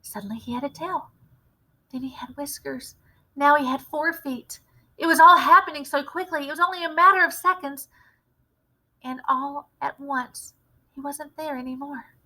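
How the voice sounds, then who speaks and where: A woman reading a children's story aloud as an expressive storyteller. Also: high voice, normal speed, rough recording. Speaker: read-aloud storyteller, teacher.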